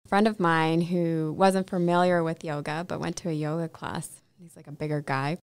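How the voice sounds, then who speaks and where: A woman hosting a podcast, chatting casually in a playful, teasing way that is quick to laugh. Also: low-pitched, flat delivery, clean tone, dry recording. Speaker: podcast host. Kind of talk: casual chat.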